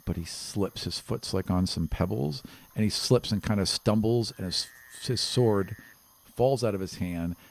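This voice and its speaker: A man's voice: lowish voice, a little slow, vocal fry. A man speaking casually as a game master, improvising narration for a tabletop roleplaying game. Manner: casual, conversational.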